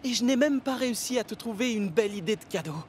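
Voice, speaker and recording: An actor voicing an eager, kind-hearted young male steam locomotive in a French cartoon dub, with an earnest, excitable manner. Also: mid-range voice, fast talker, a little hiss or hum, close to an everyday voice. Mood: disappointed.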